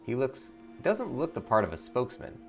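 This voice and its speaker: A man's voice: mid-range voice, huge pitch swings, normal speed. A man playing through a video game as a streamer, reading its narration aloud and commenting. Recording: music behind the voice.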